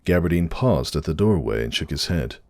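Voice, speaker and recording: A man's voice: a bass voice, sing-song, a little fast. A man reading fan fiction as a narrator, in a dramatic storybook style.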